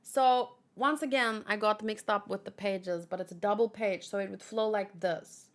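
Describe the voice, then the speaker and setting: A woman doing an emotionally expressive read-along reaction, gushing and gasping as she goes. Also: mid-range voice, moderate pace. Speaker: manga reader reacting on video.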